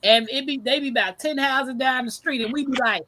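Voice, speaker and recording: Loud lady hosting a chat stream, high bright voice, laughs a lot. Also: a little fast, no echo. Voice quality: says she has a deep voice. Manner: loud, animated storyteller who laughs often. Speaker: livestream host. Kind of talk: casual chat.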